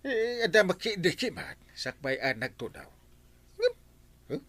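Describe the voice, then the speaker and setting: A man's voice: sits high, wildly swooping pitch, measured pace, fairly bright tone, exaggerated voice. A man playing a whining, theatrical village captain in an Ilocano radio comedy drama. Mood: explaining. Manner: wailing, exclamatory, theatrical. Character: whining village captain, comic complainer fond of liquor.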